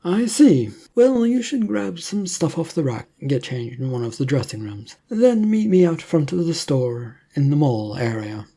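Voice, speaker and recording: A narrator voicing a composed male secret agency boss in an adventure story, speaking politely and formally in measured phrases. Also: mid-range voice, big pitch swings, warm tone, husky. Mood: businesslike.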